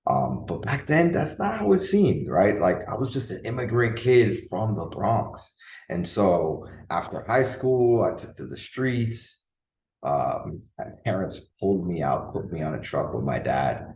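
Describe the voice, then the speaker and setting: A man's voice: low voice, sing-song, unhurried, a little mellow, recorded from a distance. A man in his thirties, originally from the Dominican Republic, hosting a casual solo podcast as a coach, talking earnestly with many fillers and restarts. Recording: echoey room.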